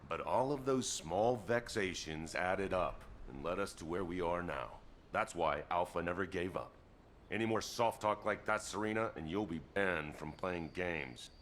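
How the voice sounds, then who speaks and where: An actor playing a gruff, steady soldier in a sci-fi game, speaking in a brisk, plain, firm way. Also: male voice, sits low, slight background noise. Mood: firm, encouraging. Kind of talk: video game cutscene acting.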